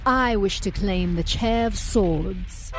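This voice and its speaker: A woman's voice: mid-range voice, slow, soft background music, natural voice. Woman acting a solemn, determined throne claimant. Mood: resolute. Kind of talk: fantasy audio drama acting.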